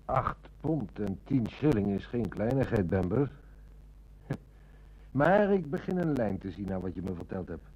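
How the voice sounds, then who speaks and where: Mellow-voiced, shrewd police inspector. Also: male voice, fairly low pitch, very animated, measured pace, husky, full character voice, low-quality recording. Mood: dry, musing. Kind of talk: Dutch radio play acting.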